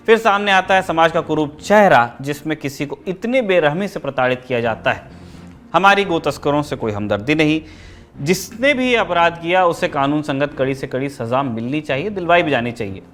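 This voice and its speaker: A man's voice: a little high, very animated, a little fast, a bit bright. A man hosting a news show, delivering scripted commentary in a formal, emphatic, rhetorical manner.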